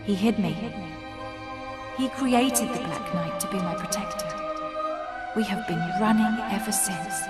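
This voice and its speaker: Woman acting a young brave mage girl in a fantasy game, soft earnest solemn delivery, loud score underneath. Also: mid-range voice, measured pace, long pauses, over loud music, lightly acted. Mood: solemn, sorrowful. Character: young gentle brave fantasy girl, last survivor of mages. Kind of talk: dramatic fantasy game dialogue and storytelling.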